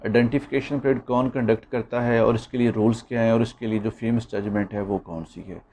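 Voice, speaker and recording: A man's voice: medium pitch, fairly even intonation, fairly quick, darkish tone. A man with a Pakistani accent giving an informal law lecture, instructively reminding students of points to remember.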